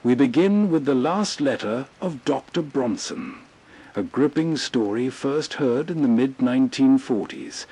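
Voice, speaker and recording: Husky man narrating atmospherically. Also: mid-range voice, a little mellow, rough recording. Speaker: host introducing classic radio plays. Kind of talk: slow atmospheric scripted narration.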